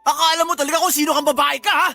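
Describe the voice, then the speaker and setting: A man's voice: extremely high pitch, steady tone, racing, pushed and bright, heavily acted. A man playing a belligerent, boastful stepfather in a radio drama, with a Filipino accent and a confrontational, domineering way of talking. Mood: angry, bullying. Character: belligerent, boastful live-in stepfather, quick to bully.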